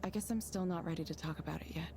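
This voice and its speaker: A woman's voice: lowish voice, rapid-fire, background noise, close to an everyday voice. An actress voicing a subdued, guarded woman who speaks hesitantly and with understatement in a visual novel game. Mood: downcast. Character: subdued, hesitant woman, withdrawn former tech worker, guarded.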